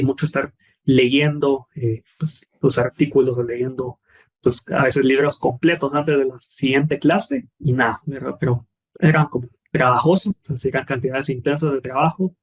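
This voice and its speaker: A young man with a Guatemalan accent speaks at a middle pitch, calm and unhurried. He often repeats words as he finds his footing. He closes his points with a small tag question. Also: rough recording. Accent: Guatemalan Spanish. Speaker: guest, former scholarship student. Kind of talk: relaxed interview answers.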